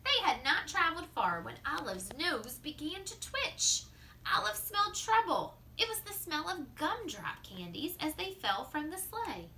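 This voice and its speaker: Lady reading kids a picture book, slow n really swoopy, expressive, little bit of noise behind her. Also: high-pitched, rough recording. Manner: expressive, drawn-out delivery with hushed asides. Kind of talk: animated children's story read-aloud with asides.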